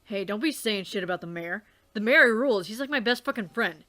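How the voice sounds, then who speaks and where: Woman playing a cool deadpan teen guy, low-key and unbothered, still fairly bright. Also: brisk. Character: laid-back deadpan teenage boy.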